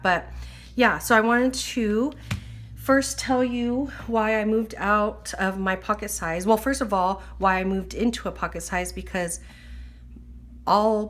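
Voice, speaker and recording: Woman, slight wheeze from a lingering cough, chatty and filler-heavy, talking at a table indoors. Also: lowish voice. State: recovering from illness, slight wheeze. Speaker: planner vlogger. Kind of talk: casual chat.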